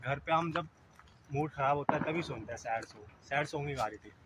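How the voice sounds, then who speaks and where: A man's voice: fairly high pitch, fairly quick, heavy background noise. A man in his twenties chatting casually to viewers from a phone held at arm's length, joking with a friend. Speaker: vlogger. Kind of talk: casual vlog chat. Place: concert hall, outdoors, then inside a car.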